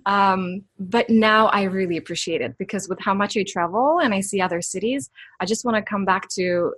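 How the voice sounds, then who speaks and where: A woman's voice: mid-range voice, normal speed, a bit bright, dry recording. Ukrainian-accented woman guest, easygoing and chatty, dry close sound. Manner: friendly, chatty, laughs easily. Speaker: podcast guest. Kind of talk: casual interview chat.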